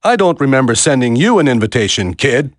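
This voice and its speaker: A man's voice: medium pitch, big pitch swings, brisk, no room sound, studio quality. A man voicing a smug, condescending villain in a video game, drawling his mocking taunts.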